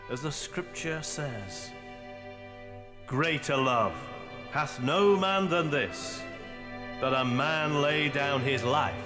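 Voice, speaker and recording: A solemn preacher speaks in a long, churchlike reverb over music. His delivery is slow and oratorical, pitched a little high for a man, as in a radio drama eulogy. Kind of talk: radio ballad drama, funeral oration.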